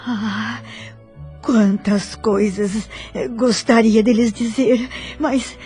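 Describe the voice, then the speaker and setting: A Brazilian woman playing an emotional, devout daughter in a spiritist audio drama, tender and eager, in a husky voice. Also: fairly high pitch, fairly even intonation, drawn-out words, darkish tone, soft background music. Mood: tearful tenderness.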